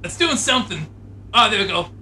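A scheming, excitable comic inventor with a high, bright voice, acted out by a man. He rambles through his villainy as if making it up on the spot. There is faint noise behind him. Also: a little fast, exaggerated voice. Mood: gleeful scheming. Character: excitable, scheming male inventor turning squirrel supervillain, easily panicked.

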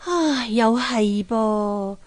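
A high-voiced, coaxing wife. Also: female voice, very slow. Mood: thoughtful. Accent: Hong Kong Cantonese. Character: wise, gently advising wife of a sculptor. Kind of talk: Cantonese radio drama acting.

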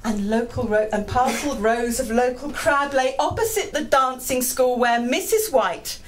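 A middle-aged English woman, a poet, reading her work live into a stand microphone with measured, expressive phrasing. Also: sits high. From England. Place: small dim pub room with seated audience. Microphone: handheld-type microphone on a stand. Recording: live venue microphone with background noise.

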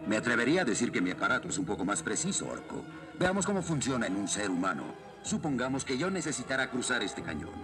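A man's voice: medium pitch, big pitch swings, quick pace, lightly acted. A man playing a stern, fatherly middle-aged soldier and inventor in a cartoon dub, speaking authoritatively with a Latin American Spanish accent. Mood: confident. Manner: authoritative, explanatory. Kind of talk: dubbed cartoon acting. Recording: music behind the dialogue.

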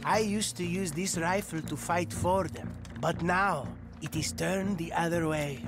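A fervent revolutionary idealist in a western game. He speaks in a solemn, impassioned way, pitched a little high. Music plays clearly behind him. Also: measured pace. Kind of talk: dramatic western game acting. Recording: music under the voice.

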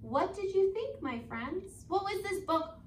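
A young woman teacher reads to small children in a high voice that swoops up and down. Her delivery is slow and expressive, with sing-song lines and questions to the listeners. She is recorded at a distance in an echoey classroom. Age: 20s. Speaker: preschool teacher. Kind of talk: animated children's read-aloud, talking to young viewers. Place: indoor school classroom. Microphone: camera a few metres away. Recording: echoey room, distant microphone.

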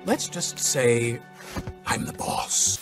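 A man playing a bossy, smug baby businessman in an animated film, talking in a dry, deliberate, self-important way. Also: medium pitch. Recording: film clip with music behind.

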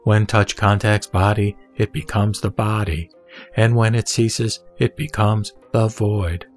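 A man reading a Buddhist sutra as a scripted narration, in a measured, formal, didactic manner. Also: lowish voice, flat delivery. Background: background music.

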